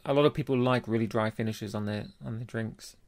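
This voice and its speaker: A man's voice: on the low side, steady tone, a little fast. A man co-hosting a podcast, chatting casually as he gives his opinion in a review. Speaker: podcast co-host. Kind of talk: casual chat, beer review.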